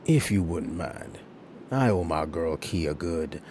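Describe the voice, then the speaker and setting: A man's voice: low voice, expressive, a little slow, a little mellow, a little hiss or hum. A man playing a weary, long-suffering but good-natured agent in an audio drama, with a dry, understated manner. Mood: dry, resigned.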